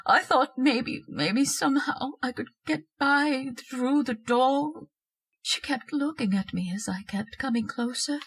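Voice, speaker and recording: A woman's voice: high voice, unhurried, husky, full character voice. A woman playing a bossy, scolding, histrionic wife haunted by an intruder in an old-time radio horror drama. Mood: hushed dread. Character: shrill domineering wife, frightened by a ghostly intruder.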